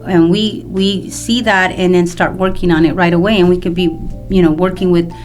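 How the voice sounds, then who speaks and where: A woman's voice: on the low side, level tone, normal speed. A woman in her fifties from El Salvador, talking conversationally and explaining at length as an interview guest. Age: 50s. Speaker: mortgage loan professional, podcast guest. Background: music in the background.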